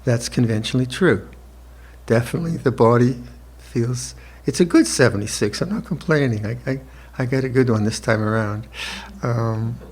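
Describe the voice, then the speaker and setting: A monk in his seventies gives an evening dharma talk, speaking slowly and reflectively with gentle restarts and soft laughter. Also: medium pitch, slightly rough. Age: 70s. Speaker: monk teacher. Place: retreat hall with listeners.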